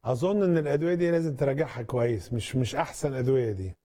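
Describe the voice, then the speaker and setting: A man's voice: medium pitch, rapid-fire, dark tone. A man with an Egyptian Arabic accent, a doctor giving advice to callers on a live TV phone-in show. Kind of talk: live medical call-in advice.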